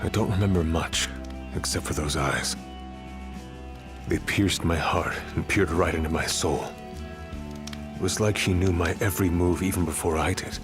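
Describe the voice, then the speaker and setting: A seasoned older male fighter, played by an actor, speaks very low with music behind him. He is a wry, measured mentor in game cutscene style, sounding knowing about what lies ahead. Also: steady tone, lightly acted. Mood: grave, reflective. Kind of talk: video game cutscene acting.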